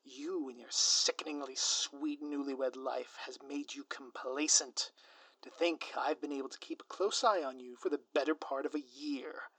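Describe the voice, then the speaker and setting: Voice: mid-range voice, steady tone, moderate pace, a bit bright, no room sound, low-quality recording. A performer voicing a scheming, smug ex-supervillain spouse in a scripted audio roleplay, with a theatrical, sardonic, grandiloquent manner. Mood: gloating, menacing. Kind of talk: scripted audio roleplay monologue addressed to listener.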